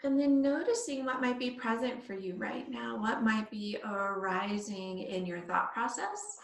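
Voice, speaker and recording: A woman yoga teacher leading a guided body scan meditation, speaking in short phrases with pauses between them. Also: on the high side, vocal fry. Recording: echoey room, distant microphone.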